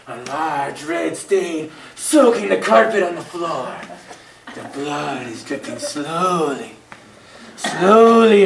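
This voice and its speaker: An actor playing a sinister hermit storyteller, narrating a radio horror tale in a melodramatic, menacing, drawn-out way. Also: male voice, high voice, vocal fry, heavily acted. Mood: ghoulish relish. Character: the Hermit, sinister cackling storyteller of murder tales. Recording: distant, echoey, noisy old radio recording.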